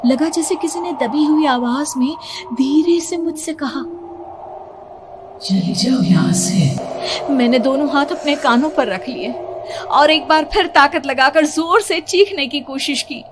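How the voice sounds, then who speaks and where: A woman narrating a horror story in the first person, with a suspenseful, drawn-out and expressive delivery. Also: high-pitched, noisy background. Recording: music behind the voice. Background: background music.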